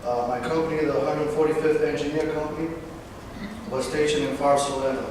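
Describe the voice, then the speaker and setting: A man in his twenties from Northern California, a veteran giving a personal talk, halting at times with fillers and self-corrections. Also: fairly high pitch, flat delivery. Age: 20s. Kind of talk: personal speech read to an audience. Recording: distant, echoey hall recording with background noise.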